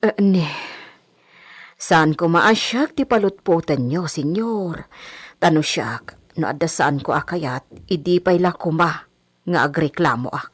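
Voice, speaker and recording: A woman playing a meek, evasive housemaid in a radio comedy drama, husky, hesitant and deferential. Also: low voice, a little slow, no room sound. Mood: timid, evasive.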